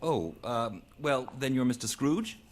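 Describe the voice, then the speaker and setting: A man's voice: mid-range voice, very animated, unhurried. A man playing a polite, earnest charity collector in a live radio drama, formal and courteous.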